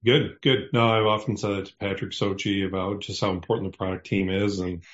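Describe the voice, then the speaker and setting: A man's voice: deep voice, flat delivery, a little fast, muffled recording. A man with a West Michigan accent, hosting an interview in an encouraging, casual way. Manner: encouraging, uses "right?" and "you know". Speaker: podcast host.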